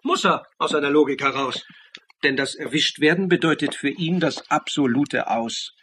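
An actor playing an insistent, methodical accuser who lays out a murder theory in pointed phrases in a German radio play. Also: male voice, a little high, moderate pace, bright, forceful tone, a little hiss or hum. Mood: insistent, accusing.

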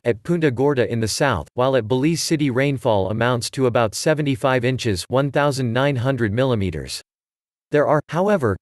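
A man's voice: mid-range voice, no room sound. Man reading out facts, dry close sound. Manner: even, reading out facts and figures. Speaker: narrator. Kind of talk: scripted educational narration.

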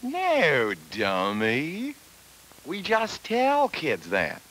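Scheming 1970s cartoon adman: brash, greedy, lively swooping delivery, bright edge. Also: on the high side, exaggerated voice. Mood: pushy. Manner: brash, fast-talking salesman's patter. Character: scheming, greedy male advertising executive. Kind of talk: 1970s animated educational film acting.

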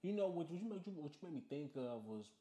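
A man's voice: a little high, very animated, fast, dark tone, slight background noise. A man interviewing a guest in a casual, slangy, conversational way. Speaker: interviewer, podcast host. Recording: roomy indoor echo.

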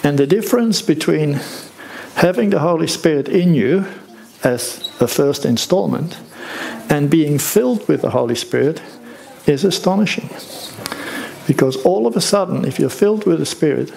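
An older man, preaching a sermon from a church stage in a conversational, unhurried way with rhetorical questions. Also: a little high, expressive, vocal fry, a little mellow, slight background noise, poor audio.

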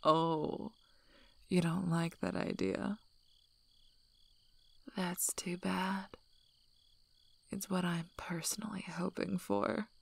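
A woman playing a tough, teasing, gruffly protective werewolf alpha in a soft-spoken, breathy ASMR roleplay, with dry delivery. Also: on the low side, steady tone, takes it slowly, long pauses, dry recording, full character voice. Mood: smug teasing. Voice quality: breathy, whispery, husky.

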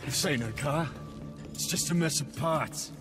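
A man voicing a gruff, terse loner driver in a video game, speaking in blunt, menacing clipped phrases. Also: fairly high pitch, a little slow, over music, close to an everyday voice. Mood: grimly demanding. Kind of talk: video game character acting.

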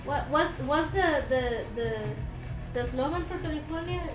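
A young woman chatting casually as a live stream co-host, giggly and a little halting as she reads comments aloud. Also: high-pitched. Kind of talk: casual chat. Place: indoor room, live stream. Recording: roomy sound, loud music behind. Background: music.

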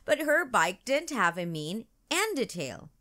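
Bright-voiced woman reading to children. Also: on the high side, sing-song, unhurried. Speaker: storytime channel narrator. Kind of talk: children's book read-aloud.

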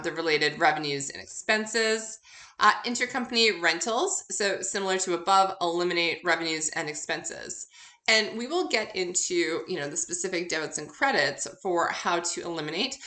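Bright-voiced woman lecturing in a roomy space. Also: medium pitch. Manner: conversational, poses questions and answers them. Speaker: university accounting instructor. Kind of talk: informal recorded lecture, explanatory.

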